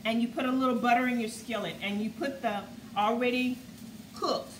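A woman's voice: fairly high pitch, steady tone, moderate pace. A middle-aged woman hosting a home cooking show, chatting casually and often checking in with viewers with "guys" and "okay?". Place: large home kitchen, indoors. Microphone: camera mic across the kitchen. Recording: roomy, distant, noisy kitchen sound.

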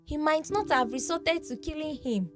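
A woman's voice: high voice, normal speed. A woman narrating a scripted folktale in a dramatic storytelling manner. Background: background music.

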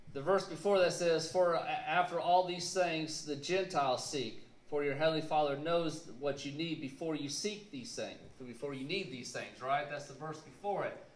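A man in his thirties preaching a sermon in a church on a handheld mic, earnest and halting with fillers, leaning hard on key words. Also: on the high side. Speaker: pastor. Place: church sanctuary, indoors. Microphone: handheld mic, filmed by a camera across the room. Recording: echoey church room.

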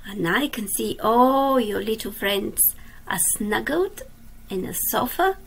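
Woman teacher, children's read-aloud at a webcam; slow and gentle, husky with some fry, quizzes the kids as she goes. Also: fairly high pitch, expressive, rough recording. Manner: slow, gentle, drops to a soft whisper at line ends; asks the young listeners questions. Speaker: teacher reading a storybook. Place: indoors at a computer.

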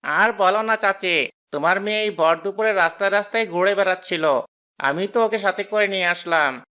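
Cartoon village man, friendly neighbour played by a man, sharp high voice, chatty delivery. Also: fairly quick, clear, resonant tone. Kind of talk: children's cartoon voice acting.

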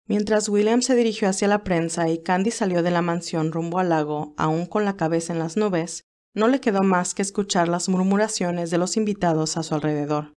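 A woman's voice: fairly low pitch, normal speed, darkish tone. Woman narrating romance over music. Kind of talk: scripted romance story narration.

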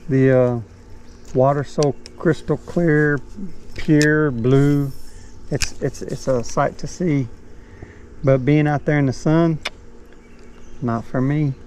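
A man's voice: on the high side, steady tone, slow pace, long pauses, a little mellow. A middle-aged man, chatting in a laid-back, folksy way while fishing from a small boat, recorded on a head-mounted camera. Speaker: fishing vlogger. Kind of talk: casual narration while fishing. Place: small boat on a shaded swamp, outdoors. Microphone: head-mounted action camera. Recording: faint outdoor noise.

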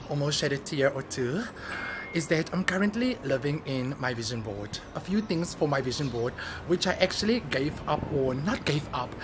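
A man vlogging casually, chatting directly to his viewers. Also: mid-range voice. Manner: chatty, addresses viewers as guys. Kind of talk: casual vlog chat to viewers. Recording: noisy vlog audio with background ambience.

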